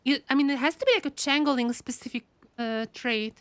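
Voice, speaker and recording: High-pitched woman reacting to a game. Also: fairly quick, dry recording. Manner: excitable, repeats words. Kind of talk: live reaction commentary, casual chat.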